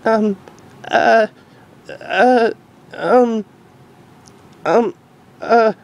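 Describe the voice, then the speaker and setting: Man doing a high, bashful pegasus mare. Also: drawn-out words, gravelly, exaggerated voice. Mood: flustered. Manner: hesitant, stammering, bashful. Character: shy, flustered, sweet grown-up pegasus mare.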